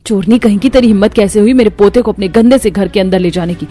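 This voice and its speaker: A woman's voice: medium pitch, fast, dark tone, soft background music, close to an everyday voice. A woman voicing an angry, rich older grandmother in a cartoon story, scolding and accusing with contempt. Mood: furious. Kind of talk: Hindi cartoon moral story dialogue.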